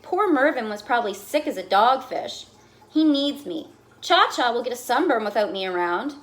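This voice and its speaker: A woman reading a children's picture book aloud as a fussy, put-upon goldfish telling its diary, with dramatic, expressive delivery. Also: a little high, normal speed, close to an everyday voice. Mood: wistful, worried. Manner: dramatic, exasperated, expressive storytelling.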